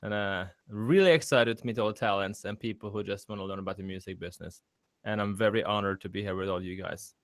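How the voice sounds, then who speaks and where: A man who is a singer and judge, talking quietly and in a laid-back way. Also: lowish voice, fast.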